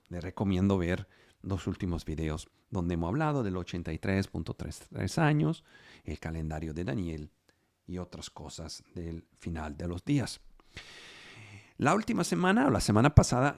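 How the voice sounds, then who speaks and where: Man, non-native speaker, bible teacher, lively pitch, deliberate delivery. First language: not Spanish. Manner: slow and deliberate, stretching key numbers, with restarts. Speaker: preacher.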